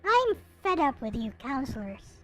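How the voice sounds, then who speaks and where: A woman's voice: sits high, sing-song, measured pace, fairly bright tone, faint music behind, heavily acted. A woman voicing a bitter, reclusive young patient who is curt and hostile in a visual novel. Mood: irritated.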